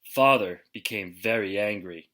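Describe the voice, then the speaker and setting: Male storybook reader for young children, unhurried and forceful, with expressive, dramatic delivery. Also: lowish voice. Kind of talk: expressive children's picture book read-aloud.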